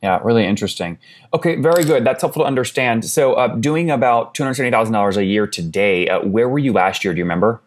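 A man's voice: mid-range voice, animated, fast talker. A man hosting a business podcast, pressing his guest with probing follow-up questions. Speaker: podcast host.

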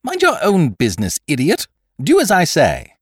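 A man voicing a triumphant, mocking gentleman thief in an audiobook, theatrical and commanding, firing off questions and taunts. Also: mid-range voice, sing-song, unhurried, no echo, clean studio sound, pitched above the performer's own voice. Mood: impatient, commanding. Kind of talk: dramatised audiobook character voice.

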